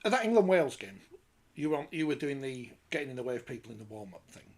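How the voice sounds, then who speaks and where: A man's voice: medium pitch, sing-song, fast. A man hosting a rugby league talk show, teasing guests and reading viewer questions. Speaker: show host. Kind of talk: casual panel chat.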